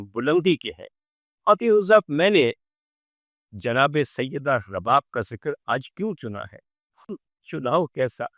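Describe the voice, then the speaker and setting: A man's voice: fairly high pitch, fairly bright tone, no room sound, clean studio sound. A man telling religious history as a dramatic orator, with emphatic phrasing, rhetorical questions and repeated phrases. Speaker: religious speaker presenting a talk. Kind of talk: dramatic Urdu religious storytelling sermon.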